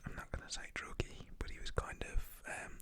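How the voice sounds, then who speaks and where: A young man telling a story in an informal, rambling, slangy way, in a breathy ASMR whisper. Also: a little fast, sharp tone. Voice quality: whispered, breathy voice without voicing.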